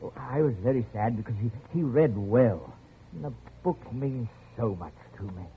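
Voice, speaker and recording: A lonely old jungle settler in a vintage radio play. His voice is soft and very husky, swinging widely in pitch as he speaks with halting, genial courtesy and quiet menace. The sound is muffled and narrow, like an old broadcast. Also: expressive. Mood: wistful, eager. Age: older. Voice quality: soft, chuckling. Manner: halting, gentle, repetitive. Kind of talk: 1950s radio drama acting.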